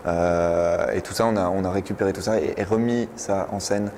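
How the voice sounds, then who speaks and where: A man's voice: sits low, steady tone, normal speed, a little mellow. A man explaining a project as its coordinator, hesitantly and without a script, searching for his words. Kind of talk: unscripted explanatory talk. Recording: noticeable ambient background noise.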